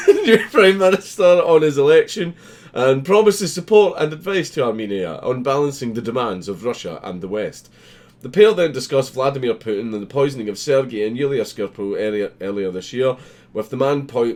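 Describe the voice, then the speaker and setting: A man's voice: medium pitch, a little mellow. A man giving casual commentary as he reads a news article aloud, swearing freely in an amused, sardonic manner. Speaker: host.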